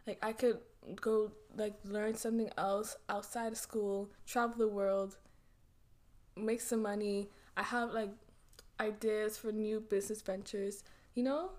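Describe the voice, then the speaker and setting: A young woman in her twenties chatting casually as a podcast guest, rambling with lots of fillers and quick giggles. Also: medium pitch, flat delivery, darkish tone. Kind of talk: casual chat.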